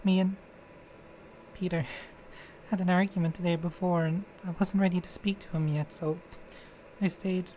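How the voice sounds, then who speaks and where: A woman playing a distressed, defensive murder suspect under questioning in an audio drama, speaking haltingly. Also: fairly low pitch, fairly even intonation, mellow, slight background noise, lightly acted, rough recording. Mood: nervous, defensive. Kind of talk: dramatic interrogation acting.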